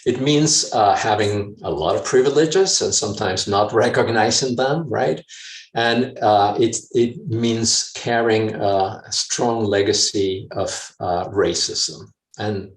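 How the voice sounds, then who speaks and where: A man's voice: on the low side, slow, reverberant, recorded from a distance, low-quality recording. Hesitant man from Mexico City. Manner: hesitant, many fillers and restarts. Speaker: speaker sharing a personal story. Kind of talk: reflective talk to an audience.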